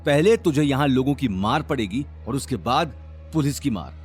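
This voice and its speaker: A man's voice: mid-range voice, lively intonation, normal speed, lightly acted. Guy doing a taunting bully of a salesman, audio drama, music under him. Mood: threatening. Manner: sneering, taunting, threatening. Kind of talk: Hindi audio drama acting.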